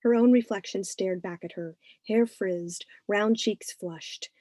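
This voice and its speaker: A woman author reading her novel aloud as expressive scripted narration. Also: mid-range voice, unhurried, no room sound, clean studio sound.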